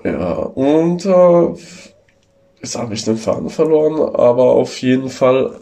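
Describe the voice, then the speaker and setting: A man's voice: mid-range voice, sing-song, measured pace, mellow. Hesitant man chatting. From Northern Italy. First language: Ladin. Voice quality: hesitant, many fillers and restarts. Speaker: podcast co-host, tattoo artist. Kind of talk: casual podcast chat.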